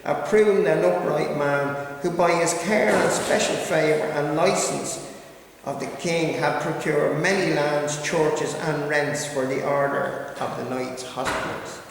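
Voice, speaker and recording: A man's voice: a little high, steady tone, a little slow, creaky, background noise. A man giving a history lecture from his notes, reading steadily and then breaking off into hesitant asides. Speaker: local history speaker. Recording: echoey hall recorded from far off.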